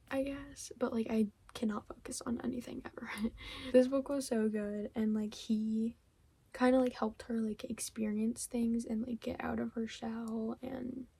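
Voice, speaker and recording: A young woman, a little hoarse from losing her voice, chatting casually about books she read, rambling with lots of fillers and giggles. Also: a little high, warm tone. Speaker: book vlogger. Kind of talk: casual book wrap-up chat.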